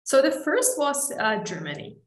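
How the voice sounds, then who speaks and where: A woman's voice: high voice, big pitch swings, normal speed, slight background noise. Slovak woman guest in her thirties, hesitant and chatty, roomy video-call sound. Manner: hesitant, many restarts and fillers. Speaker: interview guest. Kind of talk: casual interview chat. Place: home room, indoors. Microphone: earphone headset on video call.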